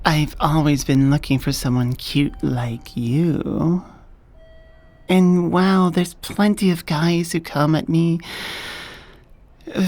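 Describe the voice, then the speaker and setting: A woman playing a confident, dominant elf coworker who flirts adoringly, soft-spoken in a close-miked ASMR romance roleplay. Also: low voice. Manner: teasing, doting, self-assured. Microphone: close binaural studio microphone.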